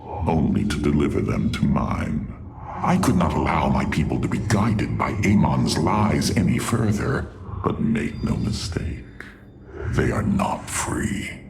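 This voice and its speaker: Very deep, gravelly alien warlord. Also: male voice, expressive, measured pace, background noise, heavily acted. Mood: cold, domineering. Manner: drawling, theatrical, contemptuous. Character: haughty, cruel, sardonic alien warlord of a proud warrior race. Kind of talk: video game cutscene acting.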